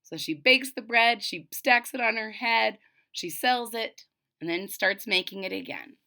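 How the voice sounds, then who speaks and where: A woman reading a children's storybook aloud expressively, with deliberate, emphatic phrasing and simple explanations. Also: fairly high pitch, creaky, pushed and bright. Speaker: storybook reader.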